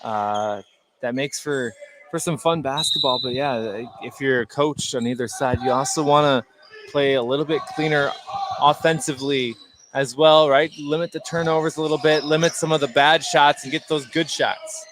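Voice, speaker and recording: An American man giving conversational basketball analysis, halting and repeating words as he goes. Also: a little high, steady tone. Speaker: color commentator. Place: indoor basketball gym, courtside broadcast. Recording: faint gym noise behind.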